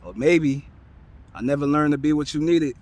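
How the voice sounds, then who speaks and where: A man's voice: on the low side, fairly even intonation, normal speed. A man playing a guilt-ridden, defensive young boyfriend in an earnest, poetic dramatic monologue. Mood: regretful, apologetic. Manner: poetic, earnest, emotional delivery. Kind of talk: dramatic spoken-word monologue. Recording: clear music playing behind.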